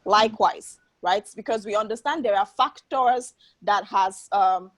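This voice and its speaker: A woman's voice: medium pitch, unhurried, a bit bright, no room sound. A woman giving earnest, explanatory interview answers as the founder of an organization, often checking in with a questioning tag. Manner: often tags statements with a questioning "right?".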